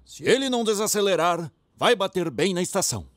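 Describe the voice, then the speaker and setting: A man's voice: high voice, very animated, brisk, heavy background noise. A man voicing a stout, middle-aged railway boss in a children's cartoon, fussy and doubtful. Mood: worried. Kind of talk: animated children's cartoon dialogue.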